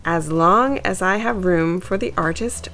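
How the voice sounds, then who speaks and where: A woman voicing a bold, eager young female mouse in a storybook, excitable and adventurous. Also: a little slow. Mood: keen. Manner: squeaky exclamations, eager.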